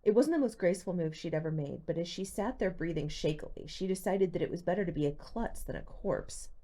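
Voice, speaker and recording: A woman reading a teen mystery novel aloud as expressive scripted narration. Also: on the low side, brisk. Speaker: audiobook reader.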